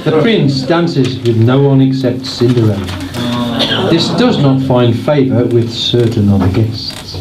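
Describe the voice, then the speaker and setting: A man's voice: medium pitch, expressive, measured pace, loud music behind the voice. A man giving scripted comic narration for an amateur pantomime, recorded in an echoey live hall.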